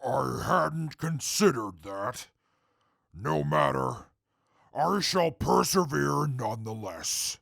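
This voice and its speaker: A pompous, cutting wasteland snob acted by a man in an audio drama. His voice is heavily gravelly and husky, delivered slowly and formally with wide, theatrical swings of pitch. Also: expressive, a bit bright, no echo, exaggerated voice. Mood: disdainful. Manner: pompous, formal diction, dry condescension. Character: haughty, coldly formal, cutting male wasteland companion. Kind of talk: post-apocalyptic audio drama acting.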